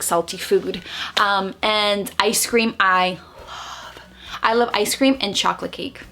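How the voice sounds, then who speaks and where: Chatty woman vlogging from her bedroom. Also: medium pitch. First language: Spanish. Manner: chatty and animated, gesturing with her hands, many fillers and restarts. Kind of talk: casual facts-about-me vlog. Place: bedroom at home, indoors. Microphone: camera close in front, seated.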